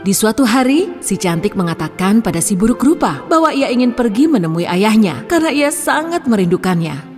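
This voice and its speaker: Female storyteller for children's fairy tales: fairly high, expressive scripted read, soft music underneath. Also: a little fast, in a large hall.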